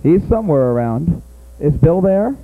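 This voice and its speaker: A man hosting a comedy night, loudly hyping up the next comic. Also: fairly high pitch, big pitch swings, normal speed. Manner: loud, hyped-up announcing. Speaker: show host. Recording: tinny, from far off the mic.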